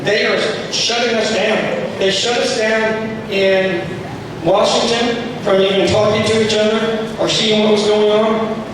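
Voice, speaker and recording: A hoarse man giving an impassioned speech at a political group meeting, rallying the room and asking it questions. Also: high-pitched, level tone, unhurried. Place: meeting hall. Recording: echoey hall from far off, noisy.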